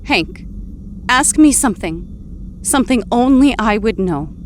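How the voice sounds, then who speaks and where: Casting: vulnerable, earnest superheroine, higher-pitched, halting delivery, some noise behind her. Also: lively intonation. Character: earnest, confused heroine returned from death. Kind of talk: audio drama acting.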